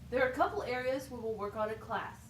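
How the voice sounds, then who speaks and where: A woman's voice: fairly high pitch, fast talker, natural voice. A woman voicing an encouraging, methodical teacher in a scripted puppet show, explaining things plainly and asking questions. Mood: patient, explanatory. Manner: instructive, question-led, plain wording. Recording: roomy, distant mic, faint noise.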